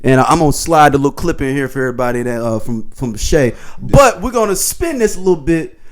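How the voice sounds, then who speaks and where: A man's voice: mid-range voice, animated, fairly quick. A man hosting a podcast, setting up a topic in a loose, rambling way. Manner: loose, rambling setup with fillers. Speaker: podcast host. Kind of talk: casual chat.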